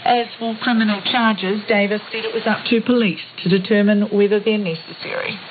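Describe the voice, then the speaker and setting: A woman's voice: mid-range voice, fairly even intonation, a little fast. Woman news reporter on narrowband shortwave. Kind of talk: scripted radio news report. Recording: narrowband shortwave radio, faint hiss.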